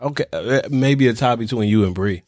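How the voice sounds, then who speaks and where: A man's voice: medium pitch, brisk, darkish tone, no echo, clean studio sound. A man from Michigan co-hosting a podcast, chatting casually and teasing, with frequent restarts. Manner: playful teasing, stumbles and restarts. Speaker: podcast co-host. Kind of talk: casual chat.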